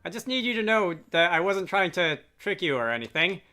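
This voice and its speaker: A man's voice: sits high, sing-song, a little fast, bright, forceful tone, exaggerated voice. A man voicing an anxious, prickly female fairy from a game in a flustered manner. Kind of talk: reading game dialogue aloud. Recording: game music behind.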